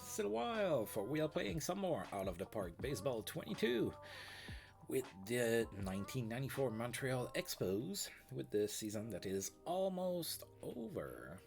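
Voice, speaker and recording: A man's voice: medium pitch, very animated, moderate pace, vocal fry, a little mellow, faint music behind. A man with a stuffy, allergy-blocked voice, casually chatting and calling plays as host of a baseball management game stream. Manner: chatty, fillers, play-by-play calls. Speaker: let's play host. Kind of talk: casual baseball sim commentary. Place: home streaming setup.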